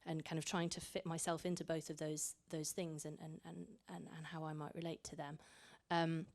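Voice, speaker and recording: A woman's voice: low voice, little pitch movement, moderate pace. A young woman raised in Italy, an author speaking reflectively at a library panel, often repeating words as she thinks. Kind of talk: panel talk. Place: library event room.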